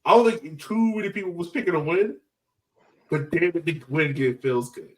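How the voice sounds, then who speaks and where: A higher-voiced man hosts a casual sports fan podcast, sitting slightly back from the mic. He talks in excited slang, curses freely and repeats his phrases for emphasis. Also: moderate pace, recorded from a distance, rough recording. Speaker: podcast host.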